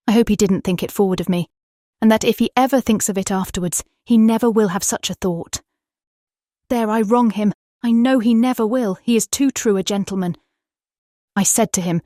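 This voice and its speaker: A woman with a British accent playing a tender young Victorian wife, reading her diary in an earnest, expressive, formal way for a dramatised audiobook. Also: mid-range voice, no echo, studio quality, lightly acted. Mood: tender, sorrowful.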